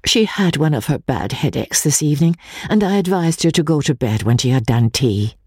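A man voicing an attentive, caring Victorian gentlewoman in a polite, lively manner for a storybook reading. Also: fairly high pitch, moderate pace, breathy edge, no room sound, clean studio sound.

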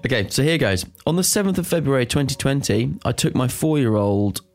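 A man's voice: medium pitch, lively intonation, a little fast, a little mellow. Giggly man reading confessions. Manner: giggly, breaks into laughter while reading aloud. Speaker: podcast co-host reading listener confessions. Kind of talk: casual podcast chat.

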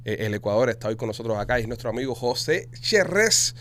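A Cuban man hosting a podcast, rattling off sponsor reads and questions at a fast clip. His talk is chatty and salesy. A faint hum sits under the voice. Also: medium pitch, big pitch swings, a bit bright. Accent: Cuban Spanish. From Cuba. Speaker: podcast host.

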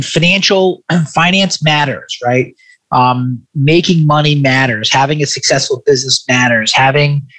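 A man's voice: mid-range voice, fairly bright tone, rough recording. A man in his forties with a mid-range voice talks in a reflective, conversational interview style. He drives points home emphatically, repeating his phrases as he goes.

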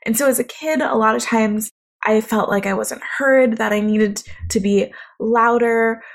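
A young woman with a naturally quiet voice, talking reflectively in a solo podcast monologue. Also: fairly high pitch, fairly even intonation, a little slow. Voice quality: quiet, soft-spoken voice. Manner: reflective, conversational, thoughtful. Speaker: podcast host.

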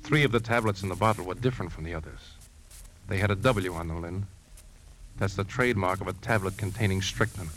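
An actor in a 1950s radio drama playing a grave, troubled man, with brooding first-person narration and measured, earnest dialogue. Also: sits low, a bit bright, slight background noise, rough recording. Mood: uneasy, measured. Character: grave, troubled man narrating his plan to trap a poisoner. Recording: 1950s radio broadcast with music behind.

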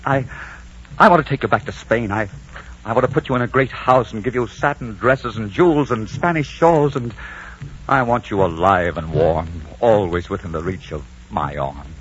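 An actor playing a proud Spanish conquistador commander in love, in melodramatic 1940s radio style. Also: male voice, on the low side, moderate pace, lightly acted. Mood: tender, pleading. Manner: melodramatic, swinging from bellowed orders to hushed tenderness. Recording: muffled, noisy 1940s radio recording.

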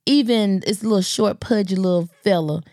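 A woman hosting a podcast, chatting in an animated, teasing way. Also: medium pitch, normal speed, no echo, studio quality. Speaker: podcast host. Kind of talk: casual chat.

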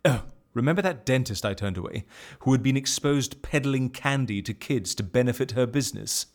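An actor playing an excitable, wordy male agent in a comedy audio drama, with a theatrical, amused way of talking. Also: medium pitch, fairly quick, no room sound, lightly acted. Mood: musing. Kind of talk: comedy fiction podcast acting.

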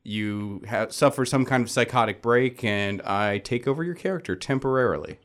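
Male game master, mid-pitched, informal and wry, explaining rules conversationally on a podcast. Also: expressive, normal speed, fairly bright tone.

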